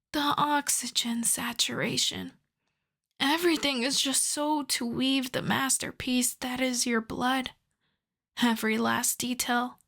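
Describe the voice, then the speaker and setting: A woman voicing an obsessive, starved female vampire in an ASMR roleplay, speaking to the listener with breathy, possessive savoring. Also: fairly high pitch. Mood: hungry, enraptured.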